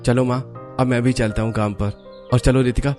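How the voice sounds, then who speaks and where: A man's voice: mid-range voice, fairly even intonation, fairly quick, darkish tone, faint music behind, natural voice. A man voicing a caring, dutiful young son and husband in a cartoon story, earnest and reassuring. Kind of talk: Hindi cartoon story voice acting.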